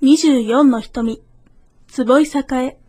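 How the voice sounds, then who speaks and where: High-pitched woman reading aloud. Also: extremely slow, dark tone, breathy edge. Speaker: reader. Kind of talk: scripted literary reading.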